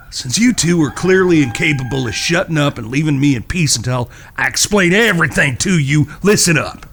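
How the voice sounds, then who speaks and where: A man's voice: a little high, expressive, moderate pace, a bit bright, a little hiss or hum. A man playing an irritable, foul-mouthed American cowboy brother in a Western audio drama, with folksy frontier talk. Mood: firm, impatient.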